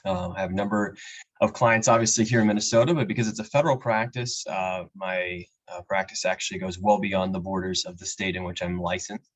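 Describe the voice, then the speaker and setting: Low-voiced man explaining at a webinar. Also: fairly bright tone, rough recording. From Peru. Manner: measured, explanatory, frequent fillers. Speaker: immigration attorney, guest speaker. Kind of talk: webinar presentation. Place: home or office with a map on the wall.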